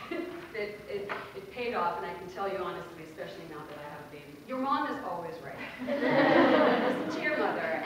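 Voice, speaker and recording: Woman speaking in a booming, echoey hall. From Bangladesh. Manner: earnest and conversational, with laughs and fillers. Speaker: workshop speaker. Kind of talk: conference talk. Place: large lecture hall. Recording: very echoey hall, mic far off.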